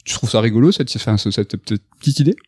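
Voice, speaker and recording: A man's voice: lowish voice, expressive, quick pace, a little mellow, husky, dry recording, studio quality. A man hosting a casual video game news podcast, chatty and upbeat, with many fillers and restarts. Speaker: host.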